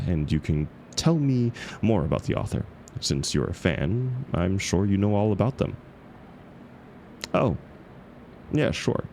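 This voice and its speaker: A man acting as a bashful book club guy with a deep, gravelly voice. He talks hesitantly, stumbling over himself and putting himself down in an intimate romantic audio roleplay. His slow delivery swings expressively up and down. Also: a little mellow, a little hiss or hum, heavily acted. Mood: shy, hopeful. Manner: hesitant, stammering, self-deprecating. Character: awkward, flustered book club member, bashful critic. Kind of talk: scripted romantic audio roleplay, intimate one-sided dialogue.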